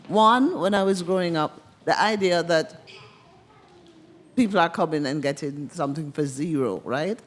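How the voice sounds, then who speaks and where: A woman from the congregation with a Jamaican accent, making a thoughtful comment that builds an argument. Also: lowish voice.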